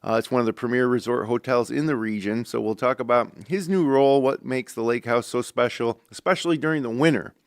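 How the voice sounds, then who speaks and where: Middle-aged male host, mid pitch, gravelly texture, easygoing studio chat. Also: fairly quick, hardly any pauses, dry recording. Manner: relaxed, conversational with many fillers. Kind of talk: local talk show hosting with ad reads.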